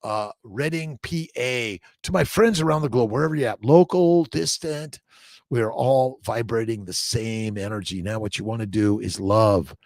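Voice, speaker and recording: A man's voice: mid-range voice, normal speed, darkish tone, dry recording, studio quality. An American man hosting an informal motivational livestream, exhorting listeners in short repeated phrases and loose asides. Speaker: host, fertility doctor. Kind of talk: informal morning livestream, motivational chat with read-aloud passages.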